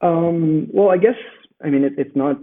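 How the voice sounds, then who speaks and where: A man's voice: fairly high pitch, normal speed. A man whose first language is Italian, speaking thoughtfully as a guest author, with many fillers and restarts, on a remote call. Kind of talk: conversational interview. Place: home in Rome. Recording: tinny phone-like remote call.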